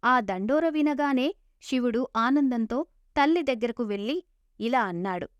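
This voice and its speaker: Woman reading a moral story, highish voice, dry close sound but kinda lo-fi. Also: animated, a little slow, dry recording. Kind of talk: scripted moral story narration. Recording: dull lo-fi sound.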